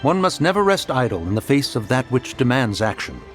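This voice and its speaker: A man's voice: mid-range voice, very animated, brisk, lightly acted. Man playing a stately, lilting ancient immortal spirit. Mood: grave. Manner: formal, archaic, refers to self as one. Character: dignified ancient male adeptus spirit in human form. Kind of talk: English video game dub acting. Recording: voice over background music.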